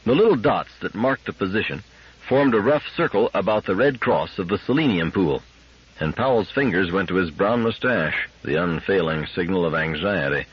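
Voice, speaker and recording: A man's voice: low voice, lively intonation, normal speed, slightly rough, dull audio. A man reading fiction aloud as a dramatic audiobook narrator.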